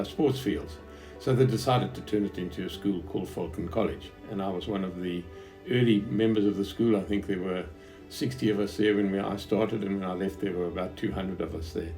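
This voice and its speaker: A man's voice: on the low side, dark tone, rough recording. A man in his seventies from Zimbabwe, with a Southern African accent, telling his life story off the cuff in a hesitant, reflective way. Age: 70s. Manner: hesitant, reflective, halting phrasing. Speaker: businessman recounting his life. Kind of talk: unscripted autobiographical storytelling to an interviewer.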